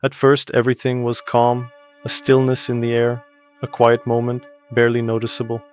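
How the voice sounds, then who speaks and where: A man's voice: medium pitch, monotone, moderate pace, faint music behind. A man reading a dramatic scripted voice-over narration.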